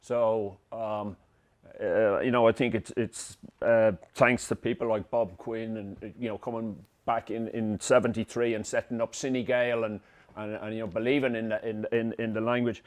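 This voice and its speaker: A man's voice: fairly low pitch, flat delivery, moderate pace, darkish tone. A man interviewing filmmakers, asking questions in a hesitant, stumbling way. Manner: hesitant, stumbling over words.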